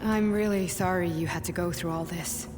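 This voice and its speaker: A woman playing a brisk, determined female ally of a soldier in a video game cutscene. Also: on the low side, fairly even intonation, big-room sound, heavy background noise, close to an everyday voice. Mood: sympathetic.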